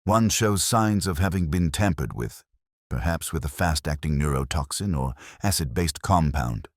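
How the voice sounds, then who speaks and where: A man's voice: a bass voice, lively intonation, moderate pace, no echo, clean studio sound. A man voicing a coolly analytical, commanding detective with a clipped, formal, probing manner in a storybook mystery. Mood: measured, analytical.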